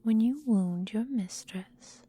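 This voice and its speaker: Woman playing a slow, whispering, possessive vampire mistress. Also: on the high side, warm tone. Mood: darkly soothing. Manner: slow, breathy, deliberate, coolly domineering. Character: possessive, menacing female vampire mistress, calm but simmering. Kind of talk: whispered ASMR roleplay.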